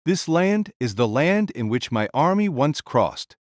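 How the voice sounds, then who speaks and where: A proud warrior king of legend, acted by a man, speaks in grand proclamations. The voice sits a little high for a man and is recorded very dry. He is commanding and theatrical, in audio drama style. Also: sing-song, a little slow, no echo.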